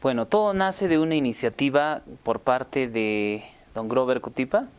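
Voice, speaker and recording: A man's voice: medium pitch, normal speed, music in the background. A man casually recounting production work, informal and circling back over his words. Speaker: radio drama sound production team member. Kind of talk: casual recollection to camera.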